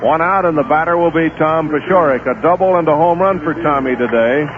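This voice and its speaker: A man calling a baseball game over a tinny 1970s AM radio broadcast, his voice sitting a little high. He keeps the play-by-play steady and unhurried and fills the pauses with player stats, with a faint stadium hum behind him. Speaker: play-by-play announcer. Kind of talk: live baseball radio commentary.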